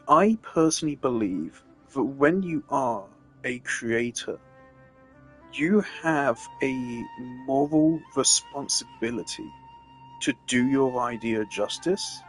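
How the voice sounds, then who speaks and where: Exasperated male streamer ranting over music. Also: mid-range voice. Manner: opinionated, exasperated, emphatic. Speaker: game live streamer. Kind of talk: casual opinionated rant while streaming.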